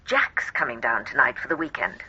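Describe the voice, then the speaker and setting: An exasperated middle-aged mother in a radio play. Her voice is bright and forceful with lively intonation and a brisk, pointed manner. The sound is a bit dull, with faint noise. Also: rumbling low, slightly rough, heavily acted. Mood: exasperated, guarded. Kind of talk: naturalistic radio comedy-drama acting.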